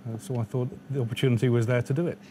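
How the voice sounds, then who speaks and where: An English man in his fifties chats as a quiz contestant. His voice is dark and mellow, with faint ambient noise behind it. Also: medium pitch, little pitch movement, rapid-fire. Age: 50s. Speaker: quiz contestant, team captain. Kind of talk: answering a host's questions.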